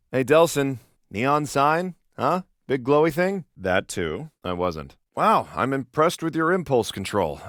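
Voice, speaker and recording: Dry, exasperated American cop, swinging pitch. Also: male voice, fairly bright tone, dry recording. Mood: sarcastic, exasperated. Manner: dry, sarcastic, conversational. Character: exasperated protective adult cop, sarcastic older brother. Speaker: police officer character in a video game. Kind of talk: video game voice acting.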